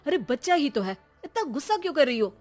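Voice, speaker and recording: A woman's voice: high voice, very animated, fast talker, darkish tone, faint music behind, pitched above the performer's own voice. A woman playing a wry, teasing husband and father bantering with his family in a comic audio drama.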